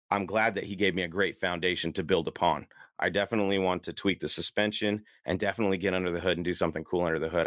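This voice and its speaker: A low-pitched man talks casually as the host of an enthusiast show. His voice is worn and slightly hoarse, and his manner is easygoing and rambling. The sound is close and dry. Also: fairly bright tone, no room sound. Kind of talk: casual solo chat to listeners.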